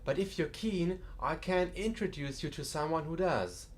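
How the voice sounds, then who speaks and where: A man's voice: on the high side, normal speed, natural voice. A man playing a genial, wry museum curator in an audiobook drama, chatty and dryly joking with a macabre humour. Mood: matter-of-fact.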